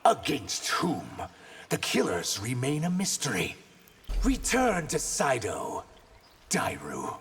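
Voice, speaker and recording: An actor playing a principled emissary warrior in a video game, speaking in a firm, reproving, deliberate way. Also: male voice, mid-range voice, wildly swooping pitch, fairly bright tone, slight background noise, exaggerated voice. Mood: stern. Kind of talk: video game fight banter.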